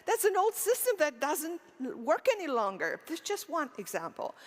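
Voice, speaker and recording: A woman's voice: high voice, huge pitch swings, normal speed. Woman giving a keynote in a reverberant hall. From Romania. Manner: asks the audience rhetorical questions and answers them; earnest, with frequent fillers and restarts. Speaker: keynote speaker. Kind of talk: conference keynote talk. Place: conference stage in a large hall. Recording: long hall reverb on stage.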